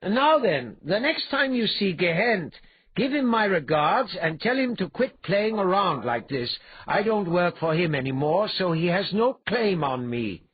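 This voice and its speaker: A man's voice: sits high, very animated, unhurried, narrow, tinny audio, slight background noise, voice pushed up. A man voicing a wily adventurer thief in a fantasy storybook, urbane, mock-polite and taunting. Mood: gloating.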